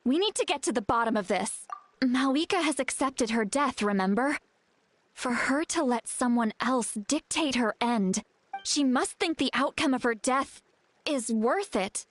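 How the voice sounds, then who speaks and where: A young mystic woman in a video game. Her voice sits fairly high, and she explains things carefully, with gentle caution and a serious, thoughtful tone. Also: expressive, measured pace. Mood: grave, thoughtful. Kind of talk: English video game voice acting.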